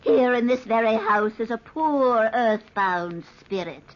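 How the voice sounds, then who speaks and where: An actress playing a solemn, superstitious countess and fortune teller in a radio drama, speaking in an ominous, lofty, theatrical manner. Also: female voice, a little high, takes it slowly. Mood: earnest, reproachful. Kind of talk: old-time radio drama acting. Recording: dull, lo-fi old radio recording.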